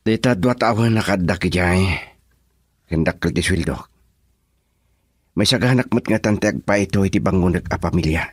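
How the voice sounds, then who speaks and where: A man playing a lovelorn young man in a radio drama, speaking softly with a yearning tone. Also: low-pitched, normal speed, long pauses, breathy edge, no room sound, clean studio sound. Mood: earnest. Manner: soft, hushed, yearning delivery. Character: lovelorn young man pining for his sweetheart.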